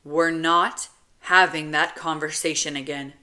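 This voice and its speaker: A woman's voice: deep voice, steady tone, unhurried, sharp tone. A woman voicing a blunt, protective young male soldier and older brother in an audiobook. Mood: stern, angry. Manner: stern, blunt, commanding.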